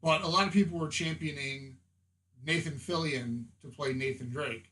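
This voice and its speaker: A man's voice: a little high, moderate pace, fairly bright tone. A man co-hosting a podcast, talking in casual, conversational style. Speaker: podcast co-host.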